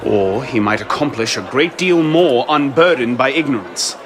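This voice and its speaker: Male actor as young assassin, serious, terse, earnest, middle register, video game drama, soft music underneath. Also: medium pitch, expressive, normal speed, natural voice. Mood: guarded, challenging. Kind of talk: video game cutscene acting.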